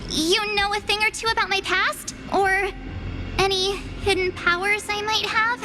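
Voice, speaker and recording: A woman playing an earnest, vulnerable young girl in a video game, with an expressive, girlish, pleading delivery. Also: extremely high pitch, a little slow. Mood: pleading, curious. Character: earnest, vulnerable teenage girl, protective of friends. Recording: music under the voice. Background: game music.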